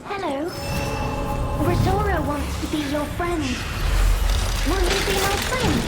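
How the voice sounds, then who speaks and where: A childlike doll girl for a video game. She speaks in a very high voice at an unhurried, slow pace. Her manner is innocent and pleading, with a wistful longing, over faint background noise. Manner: speaks of herself by name, pleading, naive. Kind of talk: scripted video game character acting.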